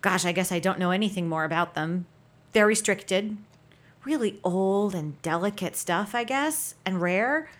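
A woman's voice: medium pitch, unhurried, close to an everyday voice. An actress playing an eccentric, wry small-town mother in her sixties, chatty, playful and evasive in a natural audio drama. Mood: chatty, musing. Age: 60s.

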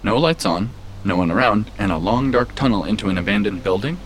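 A man's voice: lowish voice, animated, a little fast, slight background noise, lightly acted. A man playing a polite, wordy and tactless artificial intelligence assistant in a science-fiction audio drama. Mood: calm, helpful. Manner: matter-of-fact, wordy, tactless. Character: polite, chatty, unflappable artificial intelligence assistant of a Mars colony.

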